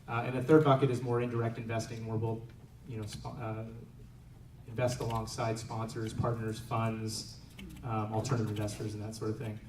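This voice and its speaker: Halting man on a panel, distant and echoey. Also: lowish voice, flat delivery. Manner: halting, many fillers and restarts. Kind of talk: panel discussion. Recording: echoey room, distant mic, noisy.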